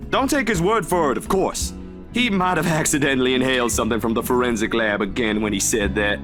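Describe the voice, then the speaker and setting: A man's voice: mid-range voice, lightly acted. A male actor playing an easygoing, protective young best friend in a visual novel, with a casual, caring manner. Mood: casual, amused. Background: guitar music.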